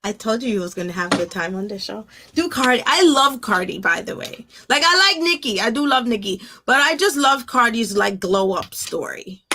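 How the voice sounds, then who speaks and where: A middle-aged woman hosting a podcast in casual chat, talking in an expressive, informal, slangy way. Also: a little high, normal speed, hardly any pauses, slight background noise. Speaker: podcast host.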